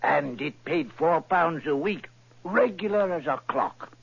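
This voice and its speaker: An actor playing a plain-spoken, earnest middle-aged shopkeeper in an old radio drama, with homely, rustic turns of phrase. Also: male voice, on the high side, animated, unhurried, gravelly, slightly rough, dry recording, heavily acted. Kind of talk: 1940s radio acting. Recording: muffled dry old radio recording.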